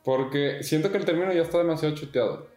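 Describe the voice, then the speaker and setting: A man's voice: a little high, fast, darkish tone, roomy sound, faint music behind. A man in his twenties with a Mexican accent, talking as a podcast guest in a laid-back, slangy way. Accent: Mexican Spanish. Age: 20s. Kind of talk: casual podcast interview.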